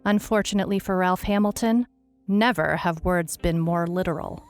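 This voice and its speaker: An actress plays a world-weary female private eye with a low voice. She narrates in a scripted noir audio drama style, dry and deadpan, with wry understatement throughout. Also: moderate pace, soft background music, natural voice. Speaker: narrator, lead actor.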